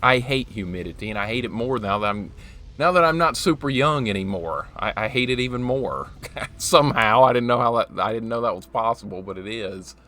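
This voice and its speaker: A man's voice: medium pitch, expressive, a little fast, pushed and bright. A man in his forties streaming a game, chatting casually with viewers and reacting to play. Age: 40s. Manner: chatty, talks to chat while reacting to the game. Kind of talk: casual live-stream chat while gaming.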